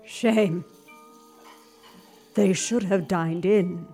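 A woman's voice: on the low side, fairly even intonation, drawn-out words, mellow, soft background music. A woman playing an eerie, stiff diner hostess. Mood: eerily formal. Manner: stiff, formal, oddly clipped. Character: eerie formal diner hostess, unsettling, clipped. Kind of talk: audio drama acting.

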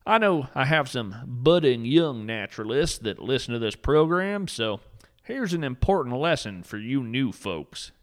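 Guy narrating a quirky nature podcast, slow and dreamy, super sing-songy, earnest and poetic. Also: mid-range voice, a bit bright. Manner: earnest, poetic, mock-solemn delivery. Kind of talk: whimsical scripted narration.

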